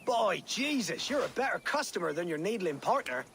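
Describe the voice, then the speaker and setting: Stubborn merchant, game cutscene, sharp bright voice, animated, blustering. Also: male voice, high voice, normal speed, creaky, a little hiss or hum, full character voice. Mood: delighted. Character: stubborn, greedy medieval merchant, easily flustered. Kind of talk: video game cutscene acting.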